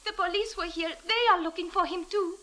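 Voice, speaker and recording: A woman's voice: very high-pitched, steady tone, fairly quick, pushed and bright. An actress playing an anxious, pleading young woman in a 1940s radio drama, speaking formal broken English. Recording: old radio drama recording.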